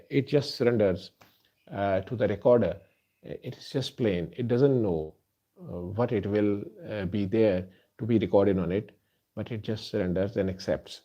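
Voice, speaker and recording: A man whose first language is Urdu, a doctor, earnestly addressing listeners in a halting way with many fillers. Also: fairly low pitch, dark tone. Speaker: doctor and healer. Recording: dull lo-fi recording.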